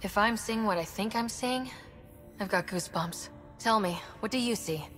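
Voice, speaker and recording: A woman's voice: mid-range voice, moderate pace, a bit bright, faint music behind, close to an everyday voice. Woman acting earnest young space explorer. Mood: awed, hopeful. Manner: earnest, determined. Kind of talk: naturalistic video game acting.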